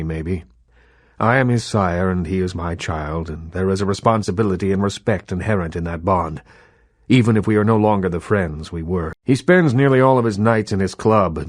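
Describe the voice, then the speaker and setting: Deep, measured, proud vampire elder. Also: male voice, no room sound, clean studio sound. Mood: somber, regretful. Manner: measured, theatrical, world-weary authority. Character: proud old-fashioned vampire elder, Hollywood baron. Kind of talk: scripted video game dialogue.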